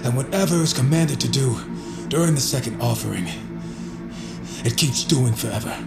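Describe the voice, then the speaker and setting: A man's voice: mid-range voice, normal speed, vocal fry, close to an everyday voice. An actor playing a tormented, weary man, a doctor with grave formal diction, in an audio drama. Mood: grave, exhausted. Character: tormented, weary doctor haunted by a demanding spirit. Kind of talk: dramatic audio fiction acting. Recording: loud music behind the voice.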